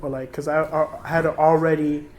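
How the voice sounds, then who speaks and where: A man's voice: fairly high pitch, flat delivery, moderate pace, a little mellow, slight background noise. An eighteen-year-old man from New York City, a youth organizer talking casually about himself in an interview. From New York City, United States.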